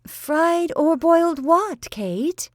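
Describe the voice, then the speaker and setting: A woman's voice: mid-range voice, animated, dry recording, studio quality. A woman reading an audiobook voices a fussy, anxious middle-aged spinster, prim and careful in manner. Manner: prim, bright, slow and careful.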